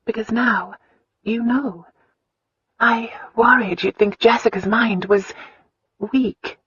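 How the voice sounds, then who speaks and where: A woman voicing an anxious, loving young wife in a pleading, emotional, breathy tone. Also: fairly high pitch, steady tone, slow, fairly bright tone, dry recording, pitched above the performer's own voice, full character voice. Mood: earnest. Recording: dull, dry audiobook recording.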